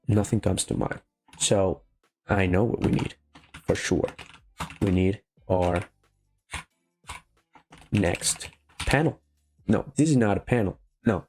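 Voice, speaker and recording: Male coding host, Venezuelan Spanish accent, gravelly and mellow, thinking out loud in a casual, halting walkthrough. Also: lowish voice. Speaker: programming tutorial host. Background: faint music, keyboard typing.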